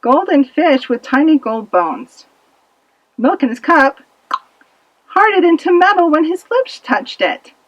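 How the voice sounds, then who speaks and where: A woman narrating a children's puppet-show fable with expressive, dramatic delivery, in a slightly muffled recording. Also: high voice, unhurried, no echo. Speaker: storyteller.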